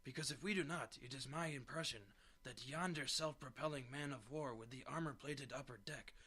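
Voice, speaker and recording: A man's voice: medium pitch, normal speed, sharp tone, slightly rough, pitched above the performer's own voice. A man voicing a pompous, long-winded python snake who speaks in grand formal phrases. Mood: urgent, pompous.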